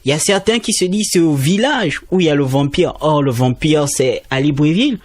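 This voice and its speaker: A man's voice: animated, fairly quick, no room sound. A man, a student trainee explaining conservation as a radio interview guest, speaking emphatically. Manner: explanatory, emphatic with rising pitch.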